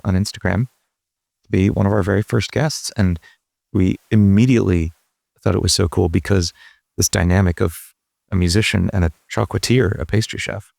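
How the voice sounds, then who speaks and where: An upbeat male podcast co-host opens the show and introduces his guests. His voice sits low with a gravelly rasp. The sound is dry and close. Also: no room sound. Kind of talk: casual interview hosting.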